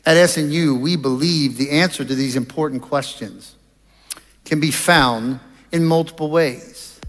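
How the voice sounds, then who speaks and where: Middle-aged male chapel preacher, slightly high voice, deliberate and explanatory, reverberant auditorium. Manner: measured, deliberate delivery with pauses. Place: large auditorium stage. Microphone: headset microphone. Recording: reverberant hall sound.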